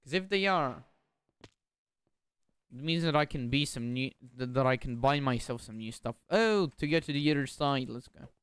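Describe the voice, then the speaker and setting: A man's voice: medium pitch, expressive, moderate pace, fairly bright tone, clear, resonant tone, no room sound. Chatty young man streaming. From Bulgaria. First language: Bulgarian. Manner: chatty, repeats words, confused asides. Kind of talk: casual gameplay commentary.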